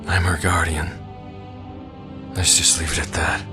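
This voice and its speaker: An actor playing a gruff, guarded guardian in a fantasy game, terse, menacing and world-weary. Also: male voice, low-pitched, little pitch movement, a little slow, over music. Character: gruff, guarded male guardian, wary and threatening. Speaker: video game character. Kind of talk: scripted fantasy game dialogue.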